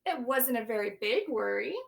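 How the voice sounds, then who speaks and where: A woman's voice: fairly high pitch, animated, moderate pace, creaky. A woman teacher reading a picture book aloud to young children, stopping to ask them questions and explain words.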